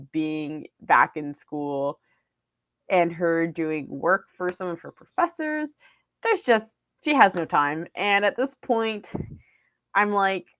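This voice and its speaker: A woman's voice: mid-range voice, fairly bright tone, no echo, clean studio sound. A young woman vlogging casually about her language learning, rambling with lots of fillers and restarts. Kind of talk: casual vlog chat. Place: small room indoors. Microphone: desk mic on boom arm.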